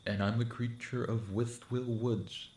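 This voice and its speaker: A man's voice: mid-range voice, fairly even intonation, unhurried, darkish tone, echoey room, slight background noise, natural voice. A young man acting in an audio roleplay as an awkward, sardonic friend who is secretly a monster, talking casually and hesitantly to the listener. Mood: awkward, defensive.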